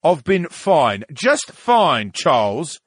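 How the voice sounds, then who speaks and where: A man's voice: sits high, huge pitch swings, normal speed, a bit bright, no echo, clean studio sound, voice pushed up, exaggerated voice. A man voicing a needy, grumbling brain in a tank, complaining theatrically in a storybook. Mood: grumbling, aggrieved. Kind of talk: audiobook character dialogue.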